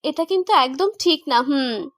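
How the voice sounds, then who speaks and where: A woman's voice: high voice, lively intonation, moderate pace, no room sound, clean studio sound. A young woman playing a naive, indignant newlywed wife in a romantic audio story, pouty, stubborn and protesting.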